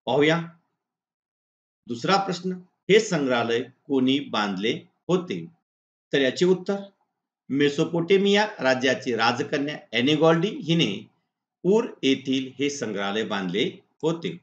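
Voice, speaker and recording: A man's voice: medium pitch, sing-song, measured pace. A man, a history teacher methodically reading out exam questions and answers point by point. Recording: dull lo-fi audio in echoey room.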